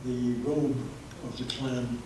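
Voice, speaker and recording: A man's voice: mid-range voice, level tone, takes it slowly, background noise. A man giving a public history talk to an audience, with many hesitant fillers, recorded through a handheld microphone in a meeting room. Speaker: history presenter. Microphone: handheld microphone, held low at times. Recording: echoey room from far off.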